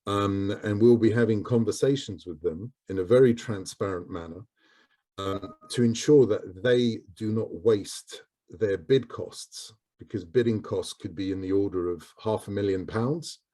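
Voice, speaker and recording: A man's voice: fairly low pitch, a little slow, mellow. A man answering questions on a video call panel, hesitant and full of "um"s. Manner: hesitant, many ums.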